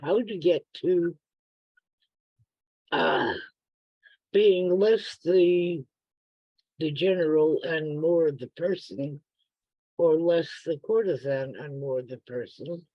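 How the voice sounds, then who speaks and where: A woman's voice: sits low, takes it slowly, pauses often, no room sound, highs cut off. A woman taking part in a workshop, talking through her question in a hesitant, thoughtful, conversational way. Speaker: workshop participant asking a question.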